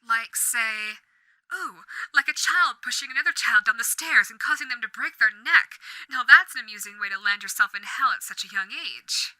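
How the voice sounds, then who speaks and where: A woman performs a suave, sadistic female radio demon with old-fashioned manners and a theatrical, toying delivery. The voice is raised and very bright, recorded dry and close. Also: fairly high pitch, lively intonation, normal speed, dry recording, low-quality recording. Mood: amused. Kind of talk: audio roleplay.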